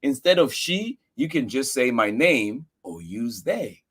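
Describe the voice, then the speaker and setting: Guy doing storytime for kids, reads earnest and emphatic, pitch goes all over the place. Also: big pitch swings, measured pace, a bit bright, husky. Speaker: storyteller reading a picture book aloud. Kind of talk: expressive read-aloud for children.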